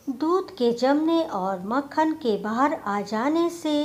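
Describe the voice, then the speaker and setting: Slow-paced woman narrating a moral story. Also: on the high side.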